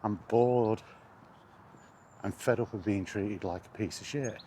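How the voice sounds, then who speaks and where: A man's voice: fairly low pitch, unhurried, noisy background. A man ranting indignantly in a casual spoken vlog, recorded while walking outdoors. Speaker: gaming vlogger.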